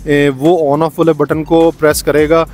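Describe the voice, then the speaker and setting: A man's voice: on the high side, little pitch movement, unhurried, a little mellow, faint music behind. A man, a vlogger demonstrating a product step by step in a tutorial style.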